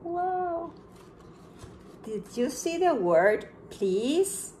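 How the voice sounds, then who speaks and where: A woman's voice: high-pitched, a little hiss or hum. A woman in her thirties, a pre-kindergarten teacher, reading a picture book aloud at home, with gasps and questions for young children. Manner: slow, expressive storybook reading with gasps and questions to kids. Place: room at home with window blinds.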